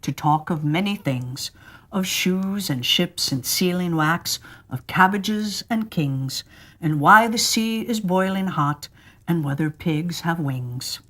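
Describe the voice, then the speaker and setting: Casting: woman as male storybook walrus, lively melodic delivery, pompous, persuasive, full of fake sympathy. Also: on the low side, slow pace, full character voice. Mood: grand, deliberate. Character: grandly persuasive, falsely tearful male walrus.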